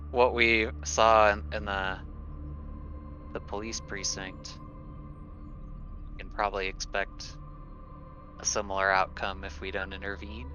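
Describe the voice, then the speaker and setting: A man's voice: lowish voice, little pitch movement, slow pace, pauses often, sharp tone, highs cut off, soft background music. A man playing a tabletop roleplaying game, talking casually in and out of the game.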